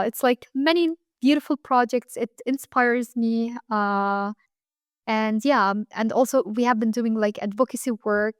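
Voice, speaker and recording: A woman's voice: on the high side, clean tone, no room sound. Earnest young woman, dry close sound. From Lebanon. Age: 20s. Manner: earnest, frequent fillers like "um" and "like". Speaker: guest. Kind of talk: reflective interview talk.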